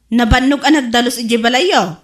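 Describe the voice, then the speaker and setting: An inquisitive young Ilocano child in a radio comedy, played by a woman. The voice is high and full of curious questioning. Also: brisk, lightly acted. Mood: curious, puzzled.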